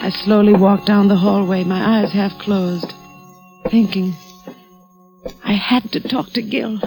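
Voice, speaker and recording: A woman's voice: medium pitch, steady tone, measured pace, natural voice, rough recording. An actress playing an anxious, determined married woman, with the earnest, dramatic delivery of 1940s radio suspense. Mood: brooding. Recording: vintage radio recording with music.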